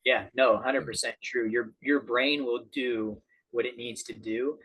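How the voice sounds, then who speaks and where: A man's voice: mid-range voice, fairly even intonation, a little slow, a bit bright, breathy edge, rough recording. A man giving casual motivational advice in an earnest, encouraging way as a guest speaker.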